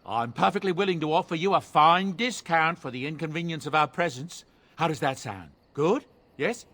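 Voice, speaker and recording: A man's voice: a little high, normal speed, fairly bright tone. An actor playing a jovial, talkative dwarf merchant with folksy salesman patter in a video game. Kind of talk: video game cutscene dialogue.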